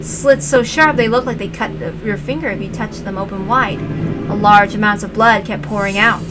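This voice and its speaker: A woman's voice: mid-range voice, moderate pace, rough recording. A woman reading a story aloud as dramatic scripted narration. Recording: loud music behind the voice.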